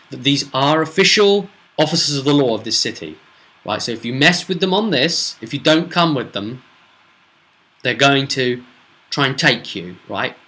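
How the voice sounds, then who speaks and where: A man's voice: mid-range voice, sharp tone. A man casually recapping a tabletop role-playing campaign as game master, chatty, with emphatic asides. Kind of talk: casual solo recap narration.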